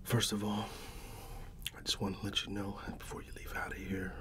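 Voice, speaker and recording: A middle-aged American man speaking softly and often in a whisper, in a casual, avuncular ASMR roleplay as a barber. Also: lowish voice, flat delivery, noisy background. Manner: unhurried, casual, avuncular reassurance. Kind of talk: soft-spoken ASMR personal attention roleplay.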